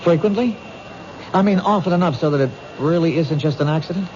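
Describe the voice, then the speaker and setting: A man's voice: fairly high pitch, a little fast, darkish tone, close to an everyday voice. A man acting in a radio mystery as an earnest police detective, questioning in a persuasive, probing way. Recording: dull old radio recording, noisy background.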